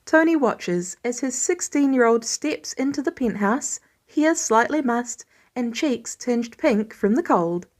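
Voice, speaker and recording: A woman narrating fiction in an expressive scripted reading style, as an audiobook reader. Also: fairly high pitch, measured pace, hardly any pauses.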